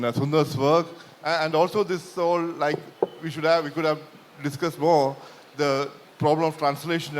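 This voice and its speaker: A man from Kerala with a Malayali Indian accent, a native Malayalam speaker, moderating a discussion in a halting, self-correcting manner. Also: a little high. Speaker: session moderator. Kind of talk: discussion closing remarks. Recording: reverberant hall with background noise.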